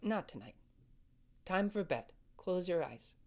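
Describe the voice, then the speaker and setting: An actor playing a caring older brother, gently soothing his little sister and telling her a bedtime story in a family audio drama. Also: high-pitched, expressive, a little slow.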